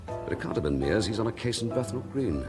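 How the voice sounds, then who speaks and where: A brooding detective, deep voice, lively pitch, wry, faint music. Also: male voice, fast. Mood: troubled. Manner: understated, wry. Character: worried male detective, dry and self-doubting. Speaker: police detective. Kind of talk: 1960s radio drama acting.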